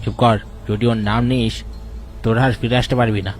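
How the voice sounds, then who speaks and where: A man playing a worried, urgent village man in an animated horror story, speaking anxiously. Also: mid-range voice, normal speed, noisy background, lightly acted.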